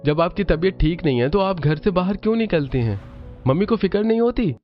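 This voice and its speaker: A man's voice: high voice, expressive, fairly quick, dull audio, soft background music, pitched above the performer's own voice. A man voicing a caring, earnest rich young man in a cartoon story. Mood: concerned, gentle.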